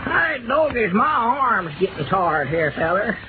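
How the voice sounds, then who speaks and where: A man's voice: high voice, sing-song, a little slow. An actor playing a good-hearted, grumbling country man with a rural Southern American accent and hesitant, repetitive talk in 1940s radio drama style. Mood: weary. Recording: tinny, hissy old radio broadcast recording.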